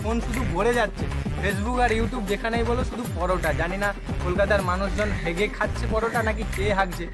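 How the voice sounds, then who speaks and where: High-pitched young Bengali prank caller guy. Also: brisk. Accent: West Bengal. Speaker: prank caller and video host. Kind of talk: casual prank phone call chat. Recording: loud music under the voice. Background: music.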